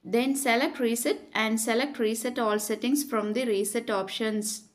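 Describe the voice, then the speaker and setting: A woman reading scripted step-by-step tutorial narration in a measured, instructional way. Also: a little high, fairly even intonation.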